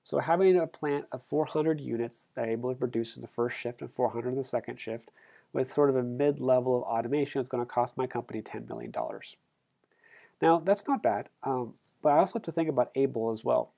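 Gravelly male instructor narrating a screencast. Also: medium pitch, brisk, warm tone. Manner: explains step by step, self-corrects. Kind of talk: screencast tutorial walkthrough. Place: voice-over on screen recording.

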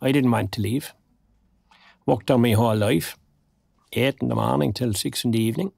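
Creaky-voiced older Irish retired man. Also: medium pitch, moderate pace, darkish tone, no room sound. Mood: wistful, resigned. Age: 60s. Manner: dry, wistful, understated. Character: lonely, wry, recently retired older man. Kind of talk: naturalistic audio drama acting.

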